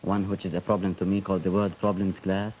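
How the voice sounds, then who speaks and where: Young guy, low deep warm voice, slow and earnest, faint room noise. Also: flat delivery, poor audio. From Lebanon. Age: late teens. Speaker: student delegate.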